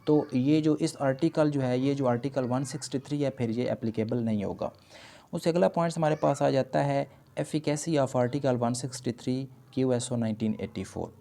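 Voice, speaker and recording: A man with a Pakistani accent giving an explanatory law lecture, switching between explanation and slowly read English legal phrases. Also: medium pitch, vocal fry, warm tone. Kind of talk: explanatory lecture, reading out points and explaining them.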